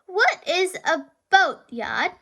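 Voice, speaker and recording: Excitable young piglet girl for a picture book, voiced by a woman: bright, high, very animated. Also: slow, gravelly, full character voice. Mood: curious. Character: curious, excitable little girl pig.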